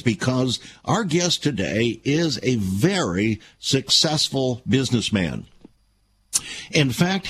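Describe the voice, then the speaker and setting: A man's voice: medium pitch, a little hiss or hum. A man hosting a talk show, delivering a rhetorical, emphatic monologue full of repeated questions. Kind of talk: opinion show monologue and interview.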